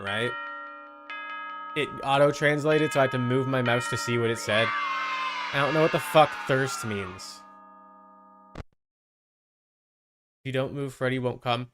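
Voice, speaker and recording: A man's voice: medium pitch, expressive, normal speed, pauses often, music in the background. A man livestreaming a video game with casual commentary, muttering to himself, repeating phrases and chatting with viewers. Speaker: livestreamer playing a horror game.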